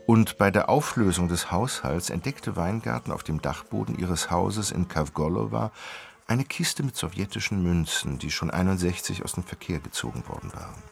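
An actor narrating a radio play as a wry storyteller with a gravelly, raspy voice. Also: male voice, low-pitched, moderate pace. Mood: brisk storytelling. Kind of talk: scripted radio drama narration.

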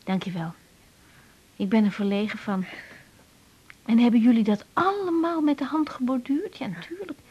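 Lively, husky young woman doctor. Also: a little high, normal speed, pauses often, muffled recording. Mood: moved, delighted. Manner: questioning, expressive. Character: young woman doctor, caring, expressive, easily moved. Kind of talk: radio drama acting.

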